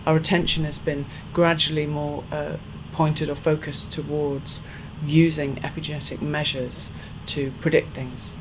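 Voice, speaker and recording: A woman scientist answering interview questions in a podcast, thoughtful and earnest, pausing on fillers as she explains. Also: low-pitched, noisy background. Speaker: scientist and professor being interviewed.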